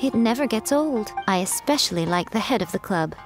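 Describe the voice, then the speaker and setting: Fox-spirit shrine maiden , played by a woman: mid-pitched, articulate, teasing, self-assured game voice, faint music behind. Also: animated, a little fast, lightly acted. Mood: approving. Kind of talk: video game voice acting.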